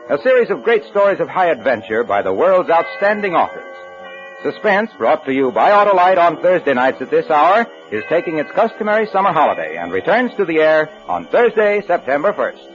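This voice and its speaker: A man working as a radio announcer and pitchman, delivering scripted 1940s-style commercial copy. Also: big pitch swings, fairly quick, over music. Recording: dull vintage radio broadcast.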